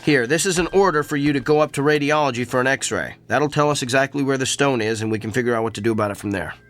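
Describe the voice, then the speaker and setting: A man's voice: medium pitch, quick pace, fairly bright tone, slight background noise, natural voice. An actor in a radio drama playing an earnest male doctor with an uncanny gift for diagnosis. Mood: convinced, puzzled.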